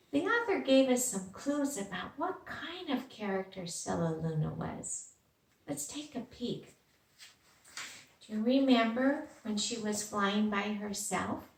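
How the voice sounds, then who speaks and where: A woman's voice: medium pitch, echoey room, recorded from a distance, a little hiss or hum, poor audio. A woman reading a children's story aloud and putting simple questions directly to young listeners. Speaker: teacher reading a picture book aloud to children.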